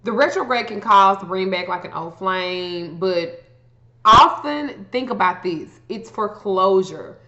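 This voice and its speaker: A woman's voice: mid-range voice, animated, a little slow, sharp tone. A woman vlogger chatting casually to viewers as she explains things, blunt and profane, with joking asides, in a muffled, echoey room recording. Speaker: astrology vlogger giving tips. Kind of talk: casual explainer chat to camera.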